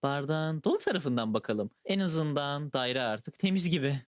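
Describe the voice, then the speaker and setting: A man in an animated series dub playing an upbeat, optimistic card creature. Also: on the high side, normal speed, close to an everyday voice.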